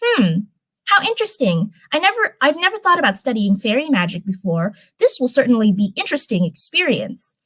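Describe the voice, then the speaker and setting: A woman's voice: on the high side, very animated, fairly quick, fairly bright tone, dry recording, poor audio. A woman voicing a bookish young female unicorn student, earnest and blunt, in a storybook reading. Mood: curious.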